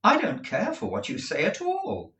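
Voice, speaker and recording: A man reading aloud, voicing a cold, proud young woman who speaks calmly and without feeling. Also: a little high, voice pushed up, heavily acted. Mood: cold, measured. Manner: cool, measured, unmoved. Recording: roomy echo.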